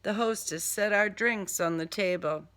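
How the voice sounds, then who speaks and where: A woman's voice: medium pitch, unhurried, a bit bright. A woman author reading her own first-person crime novel as scripted audiobook narration.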